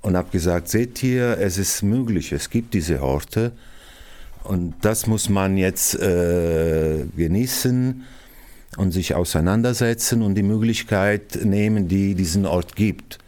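A middle-aged man from Naples with an Italian accent, a multimedia artist and artistic director, answering interview questions in non-native German with many pauses and fillers. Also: low voice, warm tone. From Italy, Naples. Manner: hesitant, searching for words, frequent fillers. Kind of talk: interview answer addressed to young listeners.

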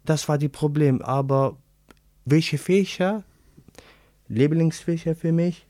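Man, Arabic accent, slow and hesitant, searching for words, dry close sound. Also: a little high, dark tone, dry recording. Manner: halting non-native German, searching for words. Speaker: interviewee. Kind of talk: casual interview.

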